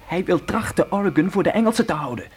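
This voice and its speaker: Determined teenage pioneer boy, earnest, commanding, lively swings of pitch, radio drama, faint hiss. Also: fast talker. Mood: grave, urgent. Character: determined adolescent pioneer boy leading his siblings westward. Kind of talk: radio play acting.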